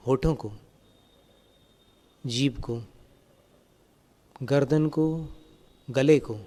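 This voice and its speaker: A man guiding a meditation as a spiritual teacher, giving calm, gentle, step-by-step instructions. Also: mid-range voice, drawn-out words. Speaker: Jain monk and meditation teacher.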